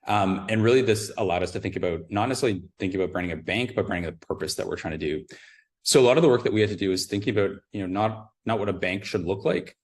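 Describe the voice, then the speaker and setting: A man's voice: on the low side, racing, a little mellow. A man giving an informal case-study presentation as a brand strategist, explaining things conversationally with frequent hedging filler phrases.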